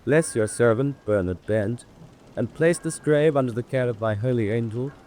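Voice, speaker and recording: A man's voice: mid-range voice, normal speed, a little mellow, a little hiss or hum, lightly acted. An actor playing a solemn clergyman reciting a graveside prayer in a radio drama, intoning it reverently.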